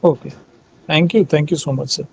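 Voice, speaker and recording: A man with an Indian accent asking questions as an analyst on a conference call, halting and rephrasing as he goes. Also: on the high side, steady tone, creaky, darkish tone, husky. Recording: faint noise on conference call.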